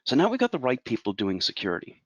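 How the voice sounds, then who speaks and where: An Irish man in his forties presents a webinar as a consultant, explaining in a measured way and asking rhetorical questions. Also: mid-range voice, wildly swooping pitch, no echo. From Ireland.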